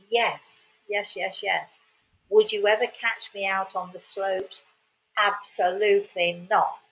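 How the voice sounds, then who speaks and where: A woman's voice: mid-range voice, a bit bright, rough recording. An older woman hosts and tells a casual, rambling story. She talks in a chatty way, repeating words and adding cheerful asides. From England. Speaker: host.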